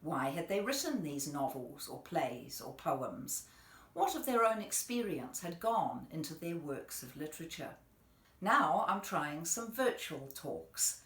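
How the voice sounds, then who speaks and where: A woman's voice: low voice, sing-song, unhurried, fairly bright tone. Inviting woman in an echoey room. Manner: inviting, enthusiastic. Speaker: literary speaker. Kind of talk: promotional introduction. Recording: roomy, echoey sound.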